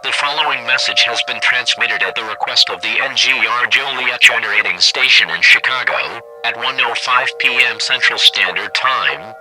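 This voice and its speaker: Bright, forceful male emergency announcer. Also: fairly low pitch, poor audio. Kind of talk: scripted emergency broadcast announcement.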